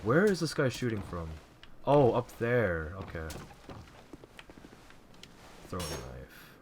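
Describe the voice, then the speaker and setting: A man with a low voice talks quietly and loosely over his gameplay, drifting through thoughts with plenty of ums. Faint background hiss sits under the recording. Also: slow pace. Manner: relaxed, rambling, frequent fillers. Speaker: let's play gamer. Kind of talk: casual gameplay commentary.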